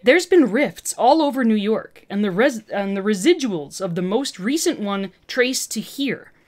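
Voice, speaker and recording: A woman's voice: mid-range voice, expressive, a little slow, darkish tone, natural voice. A woman voicing an arrogant, agitated woman scientist villain with an intense, condescending manner in a fan fiction reading. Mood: coolly accusing.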